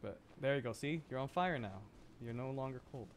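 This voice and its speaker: A man's voice: medium pitch, lively intonation, a little fast. A young man talks in a mid-range voice, as a streamer does while playing. His delivery is playful and rambling, very casual. A faint layer of noise sits behind the voice. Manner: playful, rambling, laughs often. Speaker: livestreamer. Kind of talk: casual gaming livestream chat.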